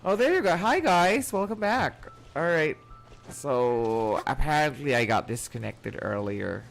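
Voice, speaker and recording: Casting: chatty male streamer, highish voice, very lively delivery, casual gameplay commentary. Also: measured pace, creaky. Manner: playful, chatty. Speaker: game streamer. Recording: game music behind.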